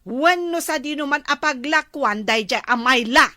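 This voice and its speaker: Woman acting high, scolding jealous Ilocano wife. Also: animated, unhurried, pushed and bright, no room sound, studio quality, heavily acted. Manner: scolding, confrontational, exclaiming. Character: jealous, suspicious wife, scolding and hot-headed. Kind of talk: Ilocano comedy drama acting.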